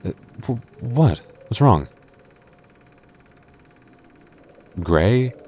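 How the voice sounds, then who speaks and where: A man playing a devoted, earnest young boyfriend in an intimate audio roleplay, speaking haltingly with stammers. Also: mid-range voice, sing-song, a little mellow, breathy edge, heavily acted. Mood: alarmed, confused. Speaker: voice actor.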